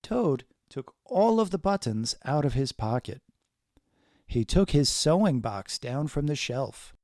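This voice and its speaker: A man reading a children's story aloud as narrator, deliberately and expressively, phrased for young listeners. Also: on the high side, vocal fry, a little mellow, dry recording, clean studio sound.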